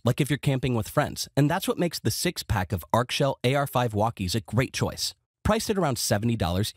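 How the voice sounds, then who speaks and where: A man's voice: medium pitch, dry recording, clean studio sound. A man reading scripted product review narration with a polished, promotional delivery.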